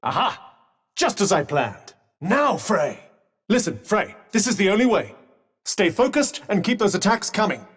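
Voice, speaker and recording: A sardonic talking magic cuff from a video game, with a British accent and a fairly high-pitched voice. It gives urgent combat advice in eloquent, dryly witty phrasing. The voice sits a little away from the mic. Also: male voice, big pitch swings, measured pace, a bit bright, slightly rough, distant mic, full character voice. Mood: confident, encouraging. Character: talking magic cuff, dry witty male battle companion. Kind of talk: video game companion voice lines.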